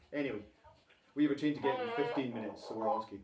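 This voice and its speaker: A man's voice: medium pitch, a little fast, a crowd in the background. A man chatting informally and playfully, as a father does in a casual vlog. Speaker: vlogger and father. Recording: echoey, with clear background noise.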